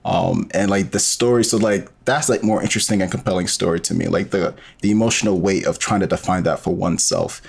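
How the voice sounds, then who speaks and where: A man's voice: sits low, steady tone, fairly quick, creaky, hardly any pauses, breathy edge. A man as a podcast guest, talking casually and rambling with many fillers. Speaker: podcast guest, audio drama creator. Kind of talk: casual interview conversation.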